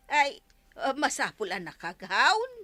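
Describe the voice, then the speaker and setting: A woman's voice: high voice, pushed and bright, dry recording, full character voice. A woman playing a reluctant, complaining older village auntie in an Ilocano radio comedy drama, drawing out her plaintive lines.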